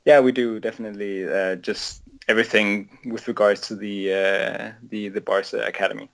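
A man in his twenties from Denmark, a native Danish speaker, answers questions as a podcast guest. His voice is fairly low with plenty of fry. He talks casually and haltingly, doubling back on words. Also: steady tone. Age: 20s. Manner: hesitant, halting, repeats words. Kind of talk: casual interview chat.

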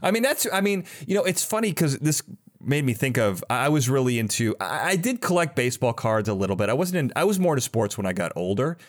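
A man's voice: medium pitch, big pitch swings, quick pace. A man hosting a podcast interview, chatting casually in an enthusiastic, rambling and affirming way. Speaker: podcast host.

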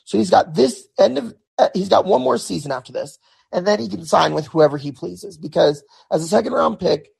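Hoarse, gravelly man on a podcast. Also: high-pitched, expressive, fairly quick, a little mellow. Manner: conversational, repeats words and restarts often. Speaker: podcast host. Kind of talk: solo sports podcast commentary.

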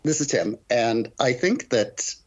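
A man's voice: mid-range voice, animated, slow pace, husky. A man speaking as a guest academic in a thoughtful interview discussion, on slightly dull audio. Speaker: guest, professor and poet.

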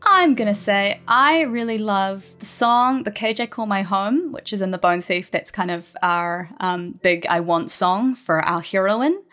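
A woman's voice: medium pitch, measured pace, hardly any pauses, fairly bright tone, faint music behind. A woman chatting informally and with enthusiasm as a writer being interviewed. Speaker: writer and lyricist interviewed as a guest. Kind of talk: casual interview chat.

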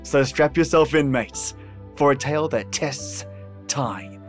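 Unhurried theatrical male podcast host. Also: mid-range voice, faint music behind. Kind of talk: scripted podcast introduction.

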